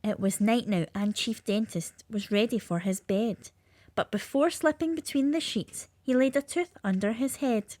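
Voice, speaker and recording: A woman's voice: fairly high pitch, moderate pace, darkish tone, dry recording, studio quality. A woman with a lilting, sing-song delivery, reading a rhyming children's story aloud.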